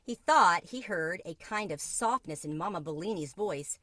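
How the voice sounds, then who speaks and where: A woman with a fairly low voice reads a children's book aloud. The recording is close and dry, and she narrates as a storyteller. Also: lively intonation, normal speed, fairly bright tone, no room sound.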